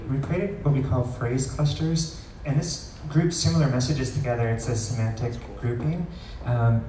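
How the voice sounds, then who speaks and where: Dark-voiced man presenting in a roomy space. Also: mid-range voice. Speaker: presenter demonstrating software. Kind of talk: live product demo talk. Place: large wood-ceilinged meetup room, indoors. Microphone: far camera recording the room. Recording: roomy, distant pickup with faint noise.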